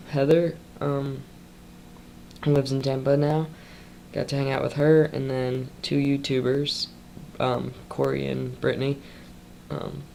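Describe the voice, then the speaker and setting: A young man chats through a rambling vlog update with a stuffed-up nose from a cold. He sounds worn out after a long shift, and his mid-range voice slips into gravelly fry. He talks loosely, with plenty of ums and side comments. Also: medium pitch, a little hiss or hum. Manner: loose and chatty, full of ums and trailing asides. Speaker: vlogger.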